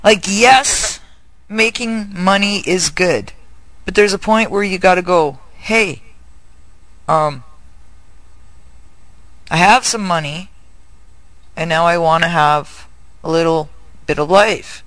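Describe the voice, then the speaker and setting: Casting: high, bright man with a Northern US accent, giggly, unhurried interviewer. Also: dry recording. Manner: giggly, laughs often. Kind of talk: casual interview chat.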